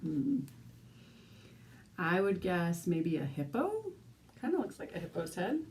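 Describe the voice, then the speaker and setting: A woman's voice: lowish voice, unhurried, slight background noise. A woman teacher reading a picture book aloud expressively, speaking as if to young listeners. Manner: animated, with asides and questions to young listeners. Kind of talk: expressive children's storybook read-aloud.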